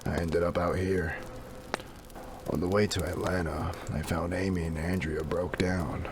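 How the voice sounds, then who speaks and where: A man playing a weary, kindly old retired salesman and widower in a comic dub, gravelly and folksy. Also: low voice, little pitch movement, unhurried. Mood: reflective. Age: 60s. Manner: folksy, plain-spoken. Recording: clearly noisy background hiss and ambience.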